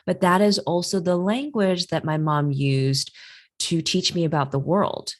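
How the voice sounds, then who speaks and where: Woman hosting a chat, chinese first language, lowish voice, lively storytelling, says "like" a lot. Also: measured pace. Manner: animated storyteller, quotes people in lively voices; many fillers like "like". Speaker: host, career astrologer. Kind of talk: casual interview chat.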